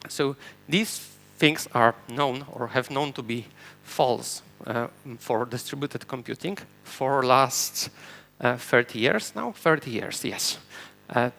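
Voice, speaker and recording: A man's voice: medium pitch, takes it slowly, gravelly, fairly bright tone. A man from Poland with a Polish accent, whose first language is Polish, giving a technical conference talk from a stage and explaining step by step with fillers and restarts. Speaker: conference speaker. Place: conference stage before an audience.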